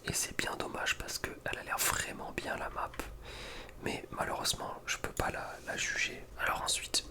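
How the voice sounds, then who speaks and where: A man speaking in a breathy whisper, giving informal, slangy ASMR commentary as a gaming video creator. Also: normal speed. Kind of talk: whispered ASMR casual commentary ranking video game maps.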